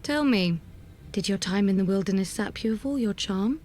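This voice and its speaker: A woman's voice: mid-range voice, normal speed, a little mellow, a little hiss or hum, lightly acted. An actress playing a spirited young adventurer in a fantasy game, arch and wordy as she needles playfully. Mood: teasing.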